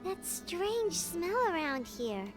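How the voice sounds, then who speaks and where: A woman voicing a small, childlike fairy companion in a video game, squeaky, cheerful and curious. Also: very animated, slow, exaggerated voice. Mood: curious, amazed. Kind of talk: video game character acting. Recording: game music behind.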